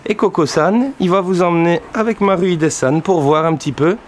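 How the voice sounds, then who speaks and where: Male travel-show host, higher register, jokey and exclamatory, noisy location sound. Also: a little fast. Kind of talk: casual vlog presenting. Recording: loud background noise, vehicles.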